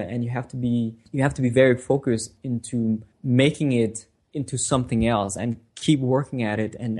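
A man whose first language is French, giving casual interview answers as a designer and guest, often pausing and repeating words as he thinks. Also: mid-range voice, darkish tone, slightly rough.

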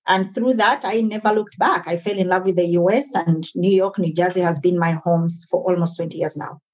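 A woman's voice: on the low side, steady tone, fairly quick. A woman in her forties, born and raised in Uganda, talking earnestly as an interview guest about her businesses, often restarting her sentences. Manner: earnest, restarts and repeats words. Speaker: guest, entrepreneur. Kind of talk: casual interview conversation.

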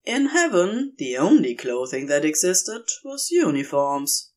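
An unhurried storyteller of androgynous pitch reads scripted fiction aloud. The delivery is expressive and highly melodic, rising and falling widely, with deliberate pauses between phrases. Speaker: podfic reader. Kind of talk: scripted narration, reading fan fiction aloud.

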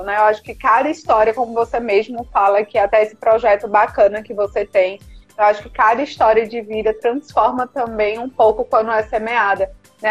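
A woman's voice: a little high, breathy edge, highs cut off. A woman from Recife with a mixed northeastern Brazilian accent, speaking as a podcast guest in an earnest, motivational way over a video call. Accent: mixed northeastern Brazilian Portuguese. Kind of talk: casual interview talk.

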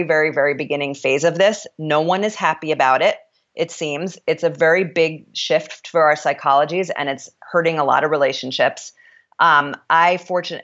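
Woman with a deep voice chatting in an interview, thinking out loud, kinda muffled lo-fi audio. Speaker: interview guest, author. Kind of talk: reflective conversational interview.

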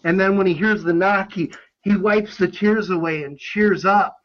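High-voiced man, passionate film fanboy. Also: steady tone, normal speed, muffled recording. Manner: passionate, rambling fanboy enthusiasm. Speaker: podcast panelist on films. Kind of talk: casual film discussion.

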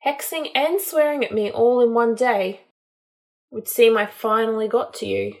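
A woman's voice: on the high side, moderate pace, vocal fry. A cold young wizard man played by a woman audiobook reader, full of disdain. He speaks in curt, taunting snarls with open irritation. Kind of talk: dramatised audiobook narration.

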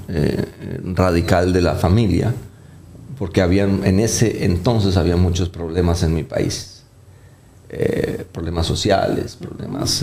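A man's voice: sits low, creaky, a little mellow, slight background noise. A Colombian doctor with a slight accent, talking through his career as an interview guest with many fillers and restarts. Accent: Colombian, softened by years in the Dominican Republic. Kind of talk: casual interview talk.

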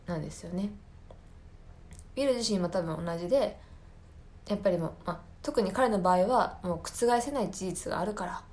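A woman hosting a podcast in a reflective solo talk, speaking earnestly and often pausing to search for her words. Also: mid-range voice, darkish tone. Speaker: podcast host. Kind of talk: reflective solo talk, personal film commentary.

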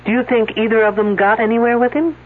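A woman's voice: on the high side, fairly even intonation, lightly acted. Actress as a wisecracking woman detective, tinny old radio. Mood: probing, wry. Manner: sardonic, brisk questioning. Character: wisecracking, skeptical, tough woman police detective. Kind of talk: 1970s radio drama acting.

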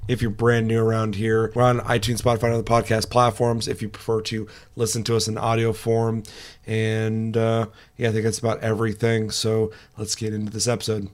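A young man with a hoarse, raspy voice hosts a casual podcast, talking in a chatty and self-deprecating way. His voice sits a little low and stays fairly flat in pitch. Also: a little fast. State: hoarse, recovering lost voice. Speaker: podcast host. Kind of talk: casual gaming podcast chat.

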